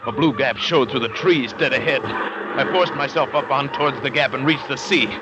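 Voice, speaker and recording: A man's voice: mid-range voice, moderate pace, background noise, close to an everyday voice. An actor playing a hunted big-game hunter who narrates his escape tensely and speaks clipped and defiant in 1940s radio drama style. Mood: desperate. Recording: dull old radio broadcast with music.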